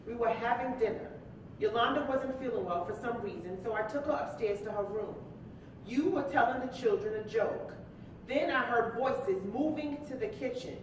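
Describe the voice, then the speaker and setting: High-voiced actress as anguished, neglected wife. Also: female voice, natural voice. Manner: emotional, insistent, dramatic. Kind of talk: staged play reading, dramatic dialogue. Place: live staged reading in an echoey hall. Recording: very echoey live hall, distant mic, rumble.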